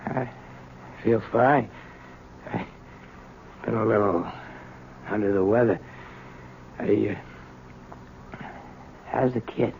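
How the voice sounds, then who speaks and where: A muffled old radio drama recording with some hiss carries a frail old prisoner. His gravelly voice moves slowly, weak and hesitant, with a humble air. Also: fairly low pitch, full character voice. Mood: weary, hesitant. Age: older. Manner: halting, subdued. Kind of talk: 1970s radio drama acting.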